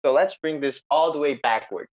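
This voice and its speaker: A man hosting a podcast over a remote call, excited and chatty, with many fillers and restarts. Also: on the high side, fairly quick. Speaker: podcast host. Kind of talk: casual chat. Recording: slightly dull lo-fi sound.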